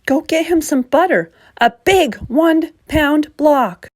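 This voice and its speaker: A woman's voice: high voice, expressive, slow, darkish tone, no room sound, pitched above the performer's own voice. A woman voicing an earnest storybook veterinarian who gives odd remedies with urgent emphasis. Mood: alarmed, instructing. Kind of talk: children's storybook character voice.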